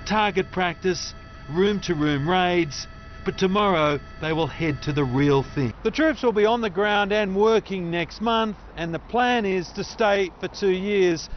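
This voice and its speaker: A man's voice: high voice, a little slow. A man narrating a scripted television news report. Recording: dull audio with faint ambient noise.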